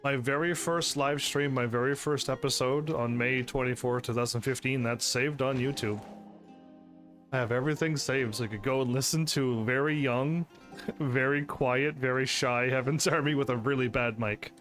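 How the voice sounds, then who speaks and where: A male gaming streamer in casual commentary, projecting his voice higher and louder to sound full of energy. His pitch sits in the middle for a man, and he chats loosely, restarting sentences and laughing between thoughts. Soft game music plays faintly underneath. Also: fairly even intonation, normal speed. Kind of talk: casual let's-play commentary.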